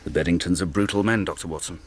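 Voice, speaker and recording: An actor playing a brisk, formal Victorian English police inspector in a radio drama, speaking deliberately as if giving an official report. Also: male voice, low voice, a little hiss or hum, close to an everyday voice. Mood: official, grave.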